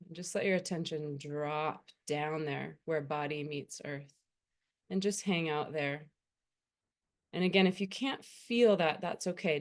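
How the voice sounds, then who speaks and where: A woman leading a guided meditation as a teacher, speaking gently and soothingly with unhurried pauses between phrases. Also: deep voice.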